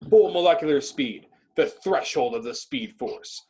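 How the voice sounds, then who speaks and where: A man with a husky voice reading stage directions as dramatic scripted narration. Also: on the high side, animated, measured pace, a little mellow.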